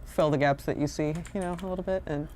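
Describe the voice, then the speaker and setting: A woman's voice: low voice, fairly even intonation, fast, darkish tone, slight background noise. A woman in casual, reflective conversation, rambling and slipping into quoted asides as she explains herself.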